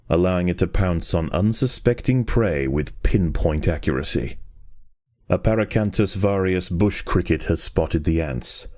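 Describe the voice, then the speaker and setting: A man reading scripted nature documentary narration for a game in a measured, grave, dramatic manner. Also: low voice, dark tone.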